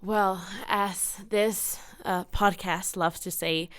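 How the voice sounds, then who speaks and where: A woman's voice: fairly low pitch, steady tone, slow, fairly bright tone, smooth, dry recording, clean studio sound. A woman in her late teens from Mexico, giving enthusiastic, earnest, casual answers as an interview guest. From Cancun, Mexico. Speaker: podcast guest, student singer-songwriter.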